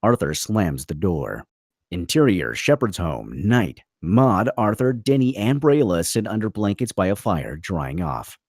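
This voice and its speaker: A man with a low voice narrates a screenplay, reading scene headings and action. His delivery is dramatic with lively swings in pitch, recorded close and dry. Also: a little slow, no echo. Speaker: narrator reading stage directions. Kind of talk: dramatic scripted narration at a table read.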